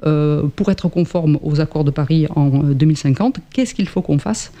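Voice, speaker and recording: A man's voice: a little high, normal speed, warm tone. A French-speaking man in his fifties, a guest answering interview questions about climate work, hesitant with many fillers and restarts. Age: 50s. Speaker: guest, climate association member.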